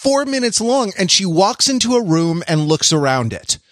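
Lively, higher-voiced man hosting a podcast. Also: normal speed, no echo. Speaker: podcast host. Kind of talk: casual panel chat about television.

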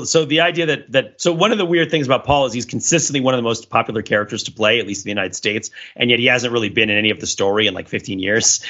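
A man hosting a podcast in casual chat, rambling through explanations in an animated, chuckling way. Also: medium pitch. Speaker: podcast host. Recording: dull, lo-fi audio.

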